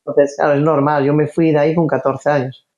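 A man's voice: on the high side, little pitch movement, brisk, a little mellow, dull audio. Earnest middle-aged man, hesitant speech. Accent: mixed Spanish, faded Galician. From Galicia, Spain. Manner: hesitant, repeats words, earnest. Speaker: interview guest, former football player. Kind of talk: casual conversational interview.

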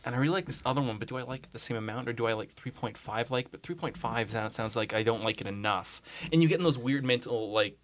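A man's voice: fairly low pitch, fairly bright tone. A man chatting casually as a podcast guest, telling stories in a rambling way full of "like"s. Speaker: podcast guest, comics blogger.

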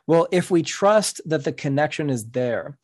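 A middle-aged man whose first language is Spanish, speaking as a podcast guest in an earnest, measured, explanatory way. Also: on the high side, lively intonation. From New York City. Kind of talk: reflective interview talk.

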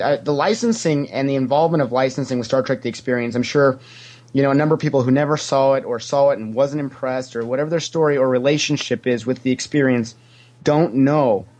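A man's voice: medium pitch, a little fast, a little mellow. A man giving casual, anecdotal answers as a podcast guest, a talkative storyteller who acts out what people said. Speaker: podcast guest, former theme park character actor.

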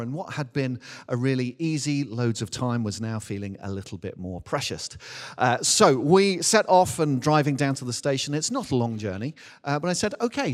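A middle-aged man preaching as a minister, telling a personal story in a chatty, self-deprecating, animated way. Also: mid-range voice. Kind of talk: anecdotal sermon, informal storytelling. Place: large indoor church hall. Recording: reverberant hall, live church talk.

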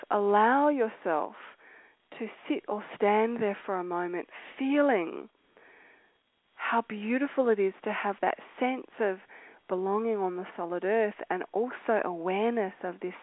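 A woman guides a meditation at an unhurried, drawn-out pace, her soothing voice sometimes sinking to a whisper. The sound is dry and close, with a narrow, tinny, phone-like band. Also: medium pitch, no echo. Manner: slow, soft and soothing, drifting into whispers.

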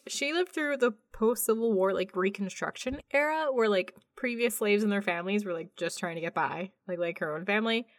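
A woman's voice: medium pitch, fairly quick, hardly any pauses, dry recording. Female podcast co-host, loose and informal storyteller, mid-range voice, dry close recording. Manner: informal, mildly sweary storytelling. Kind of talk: casual chatty podcast conversation.

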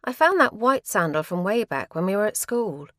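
A careful, conservative woman from a short story, voiced by a female reader. She speaks as an unsure old friend, with a lively melody. The recording is dry and close. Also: mid-range voice, normal speed, no room sound, pitched above the performer's own voice, lightly acted. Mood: hesitant. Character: Lizzie, conservative, hesitant young woman, narrator's old school friend.

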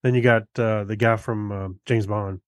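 A man co-hosting a podcast in casual chat, reading things out in a halting, easygoing way. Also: fairly low pitch, steady tone, dry recording, studio quality. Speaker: podcast co-host.

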